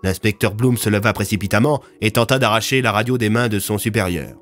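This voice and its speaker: Male storyteller for scripted audio fiction, lower register, dramatic narration, soft music bed. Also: fast talker. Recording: music under the voice.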